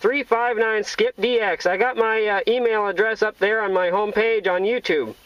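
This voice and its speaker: A man's voice: high voice, steady tone, moderate pace, fairly bright tone, no room sound. A man chatting in CB radio slang, calling out repetitively from inside a vehicle. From Iowa, United States. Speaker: CB radio operator. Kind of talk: casual CB radio chat in radio slang. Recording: noisy, muffled in-car CB radio recording.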